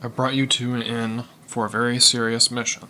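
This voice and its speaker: A man playing a solemn, deadpan police captain in an audio comedy. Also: mid-range voice, fairly quick. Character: solemn police captain anxious about his career.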